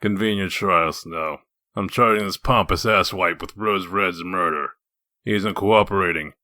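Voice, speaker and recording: Man acting a low, hard-edged, gruff detective. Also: unhurried, bright, forceful tone, no room sound. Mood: blunt. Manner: blunt, sardonic, confrontational. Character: gruff foul-mouthed wolf detective in human form, blunt and accusing. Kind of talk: comic dub voice acting.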